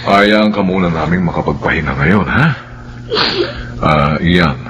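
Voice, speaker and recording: An actor playing a kindly, reassuring middle-aged police officer uncle in a Filipino radio drama. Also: male voice, sits low, a little slow, a bit bright, a little hiss or hum. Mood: calm, reassuring. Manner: fatherly, reassuring. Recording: dull sound, music behind.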